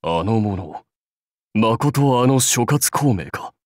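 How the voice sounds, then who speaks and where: Samurai warlord, game role: commanding, dignified, archaic diction, unhurried delivery, slightly low register. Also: male voice, lively intonation, darkish tone, no room sound, studio quality. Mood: measured, bemused. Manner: stately, archaic lordly speech. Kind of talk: Japanese mobile game character voice lines.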